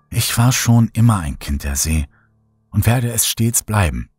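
A man narrating a horror story in first person, slow and brooding, over faint background music. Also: sits low, a little mellow, husky, dry recording. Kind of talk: scripted horror audiobook narration. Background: faint music bed.

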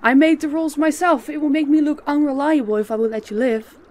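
A woman playing a stern, menacing female boss in an audio drama, speaking in a commanding, deliberate way. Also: sits high, steady tone, darkish tone, natural voice. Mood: cold, threatening. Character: stern, menacing female crime boss posing as business owner.

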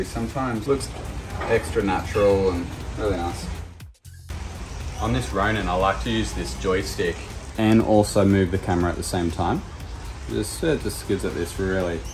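A man gives a casual behind-the-scenes explanation. His voice is on the low side and his manner is practical and informal. Music is clearly heard behind him. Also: moderate pace, rough recording. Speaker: camera operator.

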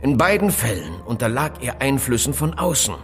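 An actor playing an evasive, cautious phone inventor in an audio drama, speaking politely and deliberately, with rhetorical questions. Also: male voice, mid-range voice, big pitch swings, soft background music, lightly acted. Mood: cryptic, reflective. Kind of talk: German audio drama dialogue acting.

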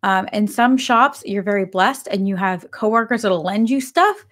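A woman in her thirties, slightly hoarse, talking to a webcam in her home office. She is chatty and upbeat, as if talking to viewers in a live stream. Her pitch sits in the middle range. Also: animated, moderate pace, a bit bright. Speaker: pet grooming business host. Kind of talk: casual live-stream talk. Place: home office with bookshelves, indoors.

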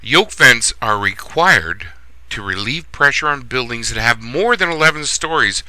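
A man giving a scripted plumbing lesson as a voice-over instructor, reading in a measured, steady way. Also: mid-range voice, no room sound, low-quality recording.

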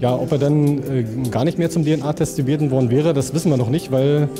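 A man speaking as a police investigator in an interview clip, deliberate and pausing with fillers. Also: a little high, steady tone, over music.

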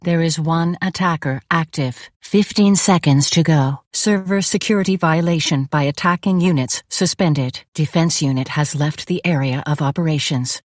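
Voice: medium pitch, moderate pace, hardly any pauses, dry recording, clean studio sound, close to an everyday voice. A performer voicing an impassive synthetic AI announcer for a video game, giving terse, formal status alerts in a detached, robotic way. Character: impassive synthetic AI announcer of a futuristic combat facility.

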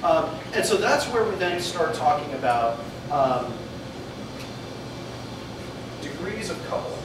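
A young man giving a meetup talk at a whiteboard, animated and laughing often as he thinks aloud and takes questions. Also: fairly high pitch, slow. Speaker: meetup speaker. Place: meeting room with an audience. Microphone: far camera mic. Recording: echoey room from far off, noisy.

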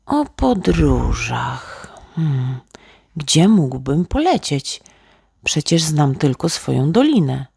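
A woman's voice: low-pitched, big pitch swings, takes it slowly, creaky, a little mellow, slightly rough, no echo, studio quality, exaggerated voice. A woman voicing a dreamy, imaginative little boy who muses aloud in a bedtime storybook. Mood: musing, longing. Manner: musing, pondering aloud with hums.

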